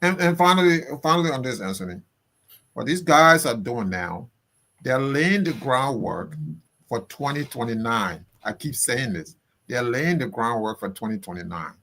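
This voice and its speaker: A man's voice: medium pitch, animated, moderate pace, fairly bright tone. A man with a Liberian accent giving impassioned political commentary as a guest, indignant and emphatic, repeating his phrases for force.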